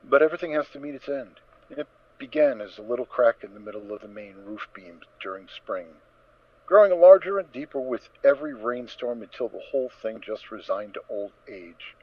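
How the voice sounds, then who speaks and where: A man narrating a scripted story dramatically, with measured, emphatic phrasing. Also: medium pitch, very animated, gravelly. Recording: dull, dry lo-fi recording.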